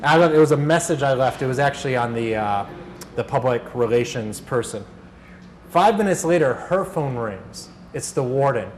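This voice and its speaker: A man with a mid-pitched voice presents informally to a workshop. He talks conversationally, like an organizer sharing stories, and there is clear noise behind him. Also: expressive, moderate pace, poor audio. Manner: conversational, acts out reported dialogue. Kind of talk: informal workshop talk with anecdotes. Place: workshop room, live talk.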